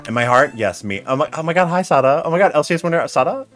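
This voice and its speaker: Animated, chatty young man streaming. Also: fairly high pitch, rapid-fire. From United States. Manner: chatty, animated storytelling, reads chat aloud. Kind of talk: casual live-stream chat while gaming. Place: small room at home. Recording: faint game music behind.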